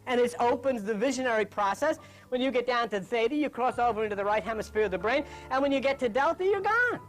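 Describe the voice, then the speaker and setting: A woman's voice: fairly high pitch, lively intonation, fast talker, soft background music, poor audio. A woman giving an informal spiritual lecture in an emphatic, insistent way, repeating phrases for weight. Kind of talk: informal lecture on meditation and religion.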